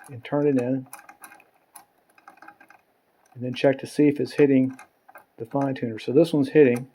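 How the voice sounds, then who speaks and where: A man's voice: medium pitch, mellow. A man giving a casual, plain-spoken hands-on demonstration, explaining things step by step as an instructor.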